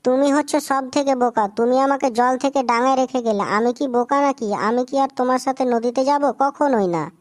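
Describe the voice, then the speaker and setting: A clever little cartoon monkey, acted by a woman, high in pitch and recorded very dry. It talks in a spirited, plain-spoken way, friendly yet careful. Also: moderate pace, darkish tone, no room sound, natural voice. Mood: indignant. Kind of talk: cartoon moral-tale character acting.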